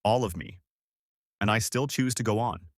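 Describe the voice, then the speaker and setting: A man's voice: lowish voice, normal speed, no echo, clean studio sound, lightly acted. A man voicing a brave young village girl with an earnest, resolute storybook delivery.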